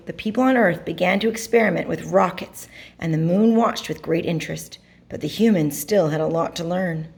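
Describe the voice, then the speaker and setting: A woman's voice: mid-range voice, vocal fry, a little mellow, breathy edge. Woman reading a children's storybook expressively. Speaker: storybook reader. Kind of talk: expressive children's read-aloud narration.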